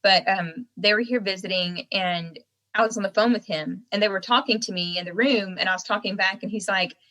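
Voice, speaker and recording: Bright-voiced young Southern American woman. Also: mid-range voice, brisk, rough recording. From Southern United States. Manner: animated storyteller who laughs often. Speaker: guest singer-songwriter. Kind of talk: casual interview chat.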